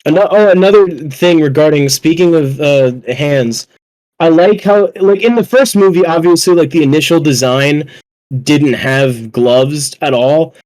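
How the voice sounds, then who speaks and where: A man's voice: a little high, unhurried, darkish tone, poor audio. A man chatting casually and enthusiastically as a fan, rambling, repeating himself and swearing freely. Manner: rambling, profane, repeats phrases. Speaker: podcast guest or panelist.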